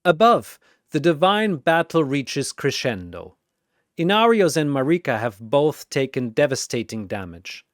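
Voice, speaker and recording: Slow, dramatic storytelling narrator. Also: mid-range voice, sing-song, no room sound, studio quality.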